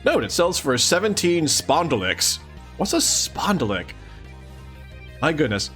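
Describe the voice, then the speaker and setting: Lively, expressive man reading aloud. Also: fairly high pitch, measured pace, soft background music. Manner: animated, expressive reading with mock-incredulous asides. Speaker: streamer reading a comic aloud. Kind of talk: casual live read-along with commentary.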